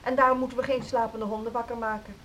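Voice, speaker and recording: A woman playing a cautious, conservative music teacher in a radio drama, formal, measured and disapproving. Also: fairly high pitch, little pitch movement, a bit bright, dull audio, close to an everyday voice. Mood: firm, objecting. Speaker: actor in a radio play. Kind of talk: naturalistic Dutch radio drama dialogue.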